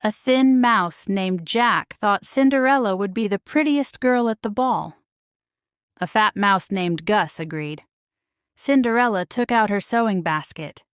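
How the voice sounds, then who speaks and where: Female children's storybook narrator, smooth and measured, very unhurried delivery. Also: mid-range voice, lively intonation, no echo, clean studio sound. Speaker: storybook reader.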